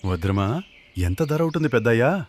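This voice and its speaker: A man playing an impatient, money-hungry young villager in an animated moral story, cynical and given to complaint. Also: mid-range voice, moderate pace, slight background noise, natural voice. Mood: eager.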